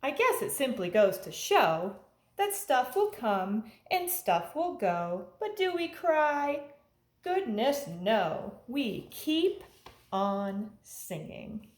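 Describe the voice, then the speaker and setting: A woman reading aloud to young children like a teacher, in an animated way with simple phrasing addressed straight to them. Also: a little high, takes it slowly. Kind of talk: children's read-aloud, with sung refrains and asides to listeners. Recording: echoey room with faint background noise.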